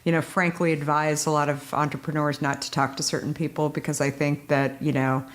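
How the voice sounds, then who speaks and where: Woman podcast host, low voice, rambling conversational delivery. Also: level tone, fairly quick. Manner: rambling, heavy use of "you know" and "like". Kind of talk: casual interview chat.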